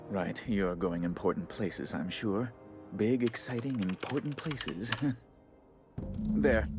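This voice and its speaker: A theatrical male colonial official from a video game, florid and easily flustered. His pitch swings dramatically across every phrase, fussy and apologetic, with music playing underneath. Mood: flustered, obliging. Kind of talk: video game character acting.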